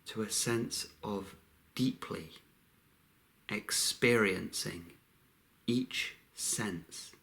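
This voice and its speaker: A man leading a guided meditation in a calm, unhurried way, with long pauses between short phrases. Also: lowish voice, creaky.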